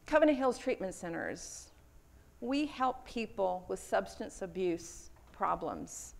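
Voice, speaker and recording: A woman's voice: mid-range voice, expressive, slow pace, gravelly. Texan woman giving a conference talk. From Houston, Texas. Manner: earnest, personal storytelling with a few fillers. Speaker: conference speaker. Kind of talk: lightning talk presentation. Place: conference room.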